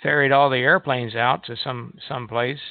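A man's voice: medium pitch, steady tone, normal speed, creaky, dry recording. A man hosting a casual live radio chat in a hesitant, folksy manner. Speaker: radio show host. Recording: tinny phone-like line, music behind.

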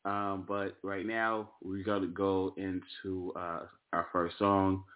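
A man hosting an internet radio show in a relaxed, rambling way, his voice hoarse as he recovers from losing it. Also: deep voice, slow. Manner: relaxed, rambling, with many fillers. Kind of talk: casual internet radio talk. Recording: tinny phone-line audio.

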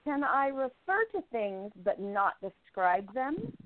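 A woman's voice: fairly high pitch, sing-song, slow, no room sound. A woman as a spirited modern newswoman. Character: young modern female journalist, spirited and independent. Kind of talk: amateur radio play acting. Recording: tinny phone-like sound.

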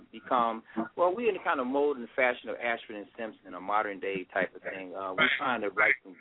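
A middle-aged man from Washington, D.C., a guest musician telling his story in a relaxed, rambling way. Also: fairly low pitch. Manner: relaxed, rambling, many fillers. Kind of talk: casual interview. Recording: phone-like line.

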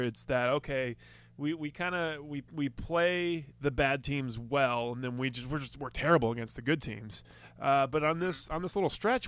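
A man's voice: on the high side, fairly bright tone, no room sound. A man hosting a sports podcast in casual chat, rambling with lots of restarts and the odd swear word. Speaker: podcast host.

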